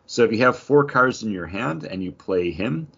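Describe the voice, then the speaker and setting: A man's voice: on the low side, expressive, moderate pace, vocal fry, fairly bright tone, husky, muffled recording. A man co-hosting a casual gaming chat, explaining things in detail with plenty of slang. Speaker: podcast co-host.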